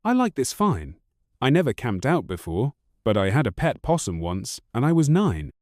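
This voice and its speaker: A man voicing a wild, boastful ten-year-old boy who chatters on in rapid-fire questions. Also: mid-range voice, huge pitch swings, darkish tone, dry recording, clean studio sound. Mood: chattering.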